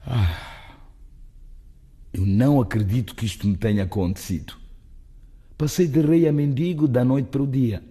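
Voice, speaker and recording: A man playing a fallen rich businessman on the run in a radio drama, wary, grave and bitter, with a husky voice. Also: mid-range voice, moderate pace, pauses often, dark tone. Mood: wary, self-pitying.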